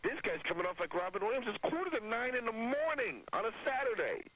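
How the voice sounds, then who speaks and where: A man's voice: high-pitched, very animated, fast, heavy background noise. A sarcastic, cocky man talking as a wrestling manager guest on radio, bantering and mocking on an old broadcast. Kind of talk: combative, joking radio banter.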